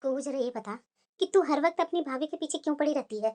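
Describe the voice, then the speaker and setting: A woman voicing a kindly older mother-in-law who keeps the peace in an animated family drama. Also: high-pitched, fast talker, over music, close to an everyday voice. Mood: gentle. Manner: gentle, scolding softly.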